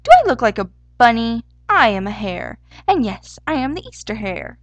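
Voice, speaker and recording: A woman's voice: medium pitch, very animated, measured pace, dry recording. A woman voicing a fussy, eager male storybook hare with a German accent, exclamatory and easily indignant. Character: excitable, fussy male Easter hare wearing pants. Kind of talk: storybook character voice.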